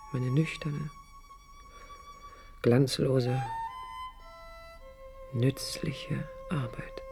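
An actress playing a young, idealistic, defiant woman architect in a radio drama, speaking with intense, expressive delivery that often drops into breathy murmurs. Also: very deep voice, heavily acted. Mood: anguished, bitter. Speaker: lead actress and narrator. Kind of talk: German radio drama, introspective first-person narration. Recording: 1980s radio play production.

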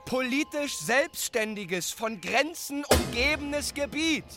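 A woman reading formal definitions in a measured, word-by-word way for a scripted radio play. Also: high voice, expressive, loud music behind the voice. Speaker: narrator.

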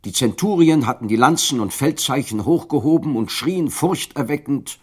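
A man narrates a radio drama with dramatic, vivid storytelling. His voice is somewhat husky, at a middle pitch, and it swings widely up and down as he builds the scenes. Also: animated, normal speed, a little mellow.

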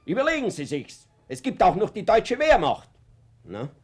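A man's voice: high-pitched, huge pitch swings, normal speed, husky, exaggerated voice. An actor with an Austrian accent playing an anxious, guilt-ridden middle-aged father and reluctant party member in a radio drama, speaking in a hesitant, colloquial way. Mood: agitated, fearful. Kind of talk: naturalistic radio drama acting.

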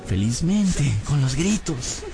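A man's voice: fairly high pitch, big pitch swings, takes it slowly, loud music behind the voice, full character voice. An actor in a radio drama playing a humble, hapless young servant, earnest and plaintive as he tells his misfortunes. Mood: rueful, narrating. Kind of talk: radio drama acting and scripted narration.

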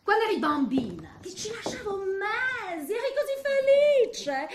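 An actress puts on a melodramatic scolding woman for a comic stage piece. Her voice is extremely high and piercing, pleading and reproaching with exaggerated swoops. The room echoes slightly around her. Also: very animated, measured pace, heavily acted. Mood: reproachful. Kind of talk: comic theatrical monologue. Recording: roomy echo, faint background noise.

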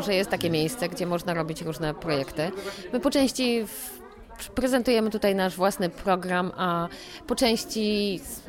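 A woman who runs an event venue, answering casually in an interview, chatty and repeating her words. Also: mid-range voice. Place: cellar event space.

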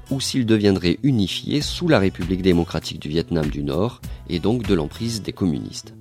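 A man narrating a documentary in a measured, informative way and asking interview questions. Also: deep voice, a little mellow.

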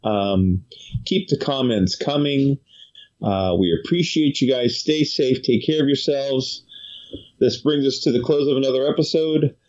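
A man's voice: medium pitch, measured pace, a little mellow. Chatty male podcast host, lo-fi sound. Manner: chatty storytelling, many fillers. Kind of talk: casual chat.

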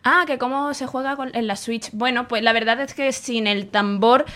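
A woman in her thirties, co-hosting a video game podcast, chatting animatedly from a home studio beside her co-host. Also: moderate pace. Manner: chatty and animated, acts out family quotes in higher voices. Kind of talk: casual chat.

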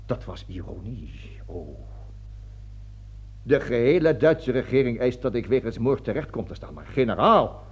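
An actor playing a pompous, fanatical army colonel with a declamatory manner in a satirical radio play. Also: male voice, medium pitch, expressive, unhurried, breathy edge. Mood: subdued, obsequious. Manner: declamatory speeches, barking orders, stammering when flustered. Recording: dull lo-fi radio drama recording.

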